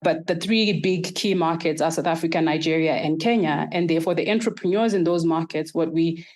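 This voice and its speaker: A woman from Zimbabwe, answering podcast interview questions in a measured, structured way. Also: sits low, a little mellow. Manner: measured, structured, explains in lists. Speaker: guest, startup accelerator executive.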